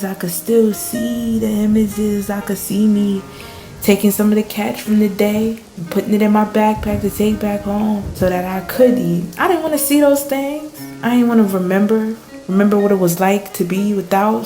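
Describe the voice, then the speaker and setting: A woman playing a storm-shaken fisher who tells a surreal sea vision in an intense, colloquial way. The voice is soft-edged and mellow, unfolding very slowly in an echoing space, as in a dramatic radio play monologue. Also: mid-range voice, natural voice. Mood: haunted. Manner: intense, colloquial storytelling with drawn-out words. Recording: roomy, echoing sound.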